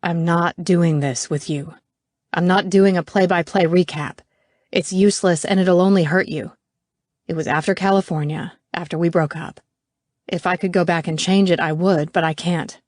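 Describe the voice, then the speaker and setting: A woman voicing a defensive, regretful young boyfriend in an audiobook, speaking low and clipped. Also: fairly even intonation, moderate pace, darkish tone, no room sound, studio quality, lightly acted. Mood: tense.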